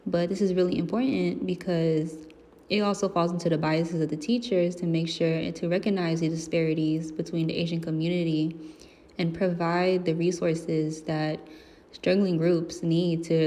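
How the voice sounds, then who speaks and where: A woman's voice: fairly low pitch, level tone, moderate pace, dark tone. A young woman giving a personal statement as a student speaker. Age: young adult. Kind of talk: personal statement for a class presentation. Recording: long hollow reverb.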